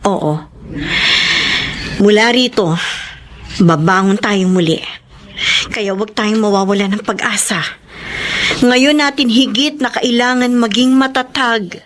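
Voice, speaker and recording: An actress playing a resolute, hardworking eldest daughter in a radio drama, speaking earnestly and emphatically. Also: female voice, mid-range voice, takes it slowly, pauses often, natural voice. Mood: determined. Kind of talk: Tagalog radio drama acting.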